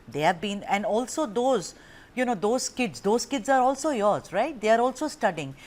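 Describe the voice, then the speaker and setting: A woman's voice: fairly high pitch, lively intonation, moderate pace, a little mellow. A woman news anchor moderating a TV debate, pressing her guests and talking over them.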